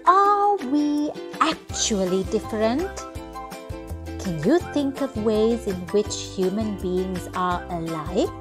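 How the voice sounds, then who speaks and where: A young woman reading for children in an expressive, measured way, as a storyteller. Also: mid-range voice. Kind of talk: scripted children's read-aloud and questions. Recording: music behind. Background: children's music.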